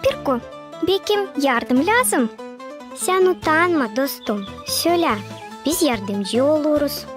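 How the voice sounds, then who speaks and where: High, friendly little cartoon animal. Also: female voice, expressive, takes it slowly, over music. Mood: helpful, urging. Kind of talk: children's cartoon acting.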